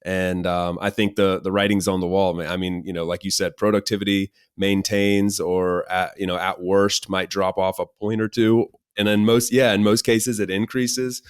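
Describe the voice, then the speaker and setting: An American man speaks as a podcast host in a casual, conversational way. His voice is on the low side, and the recording is dry with hardly any room sound. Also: flat delivery, moderate pace, few pauses, clean tone, no echo. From United States.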